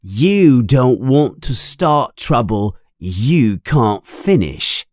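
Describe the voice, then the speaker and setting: A man's voice: medium pitch, sing-song, darkish tone, no room sound, clean studio sound. A man voicing a mean, sneering schoolboy bully who taunts and mocks in a storybook reading. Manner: taunting, mocking, drawn-out jeers.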